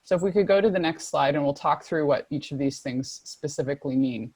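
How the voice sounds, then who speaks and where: Low-voiced woman moderating a panel. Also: brisk, a little mellow, slightly rough, no echo. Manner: organized, directive, listing points. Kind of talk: presentation with slides. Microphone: video call.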